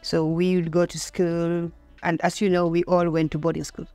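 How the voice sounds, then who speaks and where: A woman's voice: fairly low pitch, level tone, normal speed, a little mellow, no echo, soft background music. A middle-aged woman with a Kenyan accent talking about her own life in a conversational interview. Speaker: podcast guest.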